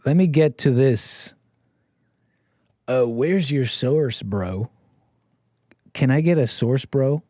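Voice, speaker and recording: A man's voice: medium pitch, lively intonation, slow pace, long pauses, dark tone, no room sound, clean studio sound. An American man hosting a call-in show, rambling casually with lots of restarts and laughs.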